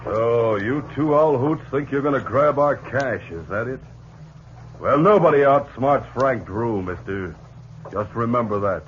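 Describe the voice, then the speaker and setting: A male actor puts on a swaggering outlaw chief from a fifties radio western. His mid-pitched voice swings about as he sneers in slangy cowboy talk. The sound is muffled like an old broadcast. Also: lively intonation, unhurried, slight background noise, lightly acted. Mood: defiant. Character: cocky male outlaw gang boss, gruff and defiant. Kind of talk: 1950s western radio acting.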